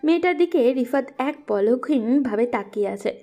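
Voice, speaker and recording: High, mellow woman storyteller. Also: lively intonation, moderate pace, soft background music. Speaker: narrator. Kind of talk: scripted story narration.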